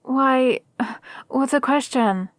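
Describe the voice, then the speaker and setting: Woman doing a villainess mentor for asmr roleplay, slow and deliberate, highish voice, gentle but menacing, power hungry. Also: no room sound. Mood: probing, composed. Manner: measured, deliberate, formal. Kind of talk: scripted ASMR roleplay monologue.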